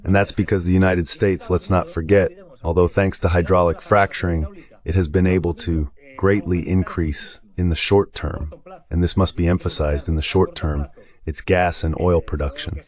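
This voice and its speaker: A man's voice: deep voice, fairly even intonation, normal speed, a little mellow. A man speaking as the translated voice-over of a guest analyst, delivering political commentary.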